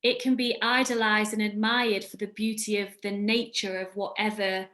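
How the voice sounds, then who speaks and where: A woman's voice: medium pitch, flat delivery, moderate pace, fairly bright tone. A woman hosting a podcast, speaking earnestly and reflectively in casual conversation. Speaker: podcast host.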